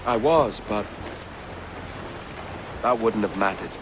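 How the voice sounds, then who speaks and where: Sea captain father, game cutscene: measured, tender, regretful, lower register, clear ocean noise. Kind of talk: naturalistic video game cutscene acting. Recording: noisy wind and waves on ship.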